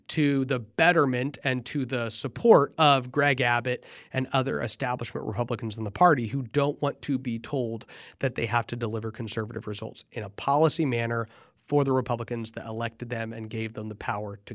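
A man's voice: medium pitch, a bit bright, no room sound, studio quality. A man hosting a political podcast, talking earnestly and emphatically with a stuffy, nasal voice from allergies. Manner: earnest, emphatic, rises when fired up. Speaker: podcast host. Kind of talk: political commentary monologue.

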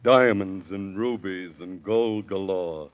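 A kindly, elderly prisoner in an old radio drama. His voice is low and beseeching, speaking earnest rhymes through a tinny, narrow-band recording. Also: takes it slowly. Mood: pleading, sorrowful. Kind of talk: 1930s radio acting.

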